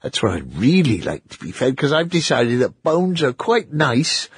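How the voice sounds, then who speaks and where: Storybook boy, read by a man, pitched up, stubborn and earnest, husky edge. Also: very animated, moderate pace, dry recording, studio quality. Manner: insistent, indignant. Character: stubborn young boy who chews bones.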